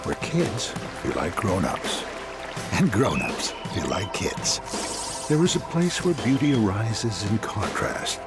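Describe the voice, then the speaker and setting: A man's voice: fairly low pitch, big pitch swings, takes it slowly. A man reading scripted narration for a tourism commercial. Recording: loud music under the voice. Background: loud music.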